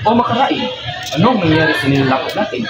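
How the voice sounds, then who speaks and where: A man's voice: on the high side, very animated, fast. A young man rehearsing scripted lines as an amateur radio drama performer, repeating them and stumbling over words between bits of casual chat. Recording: loud music over the voice. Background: loud music.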